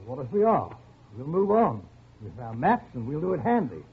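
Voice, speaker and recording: Blustering expedition leader, swooping pitch, old radio. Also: male voice, sing-song, brisk, slightly rough, full character voice. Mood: forced confidence. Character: blustering male expedition leader, brittle stiff-upper-lip confidence. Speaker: radio actor. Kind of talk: 1940s radio drama acting. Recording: tinny, noisy old radio broadcast.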